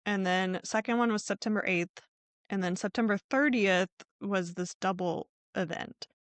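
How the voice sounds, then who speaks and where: A woman's voice: medium pitch, a bit bright, no room sound. Casual woman podcast storyteller, close and dry. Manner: informal, conversational, hedging as she retells. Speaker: podcast host.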